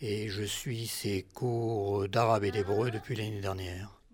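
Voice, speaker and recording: A man's voice: lowish voice, little pitch movement, unhurried, a crowd in the background. A man of sixty-seven from Algeria giving a personal testimony as a language student, searching for his words. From born in Algeria.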